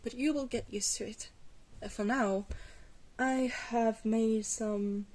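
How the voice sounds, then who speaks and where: A woman playing a glamorous vampire mentor in an ASMR roleplay, patient, reassuring and gently wry, with a soft, hesitant delivery. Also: a little high, fairly even intonation, slow. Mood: wry, explaining, then reassuring, instructive.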